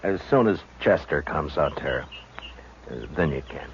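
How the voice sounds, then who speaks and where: On a muffled, noisy old radio western recording, a low-voiced frontier marshal speaks with grave authority. He is weary and stoic, terse and understated. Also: expressive, normal speed. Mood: tired, reassuring. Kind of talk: 1950s radio western acting and narration.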